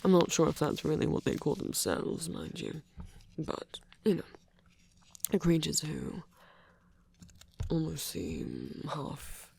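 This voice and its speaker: A man performing an intimate ASMR roleplay in a husky, creaky voice, as a character chatting to a listener. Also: fairly high pitch, huge pitch swings, slow pace, pauses often, darkish tone, no echo.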